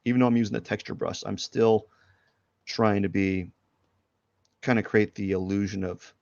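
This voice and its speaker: A man with a cold talks in a low, gravelly voice, sniffling as he rambles loosely through his drawing steps. The sound is dry and dull, like lo-fi stream audio with no room echo. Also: fairly even intonation, normal speed, a little mellow. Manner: loose and rambling, many fillers. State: has a head cold, sniffling. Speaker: artist streaming a drawing session. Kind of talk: casual live drawing commentary.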